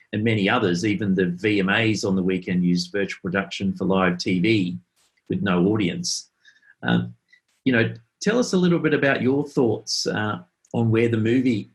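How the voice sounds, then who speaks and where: A man acting as interviewer and host, speaking conversationally to a guest. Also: fairly low pitch.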